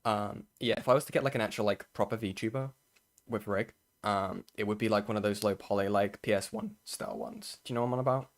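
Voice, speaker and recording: Streamer, male, hoarse, casual and profane. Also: on the low side, quick pace. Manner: loose, sweary, trailing off. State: voice going hoarse.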